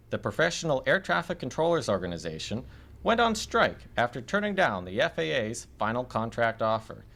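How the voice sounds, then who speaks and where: Animated male news documentary narrator. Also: mid-range voice. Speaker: reporter narrator.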